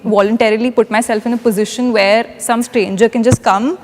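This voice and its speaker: A woman's voice: fairly high pitch, fairly even intonation, brisk, darkish tone, slight background noise. A woman in her twenties making an earnest argument in a panel debate. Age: 20s. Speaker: debate participant. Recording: reverberant studio hall.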